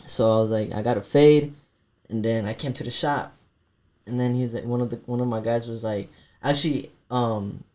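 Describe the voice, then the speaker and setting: A man working as a barber, guest on a podcast, telling a story in a soft-spoken, laid-back way and calling everyone "bro". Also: medium pitch, steady tone, moderate pace. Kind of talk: casual storytelling.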